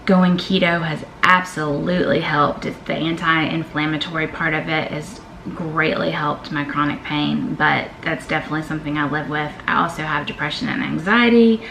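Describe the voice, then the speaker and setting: A woman's voice: low-pitched, fairly even intonation, moderate pace, vocal fry, fairly bright tone, echoey room, a little hiss or hum, low-quality recording. A woman, chatting candidly as she reads out questions and answers them in a vlog. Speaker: vlogger. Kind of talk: casual Q&A vlog.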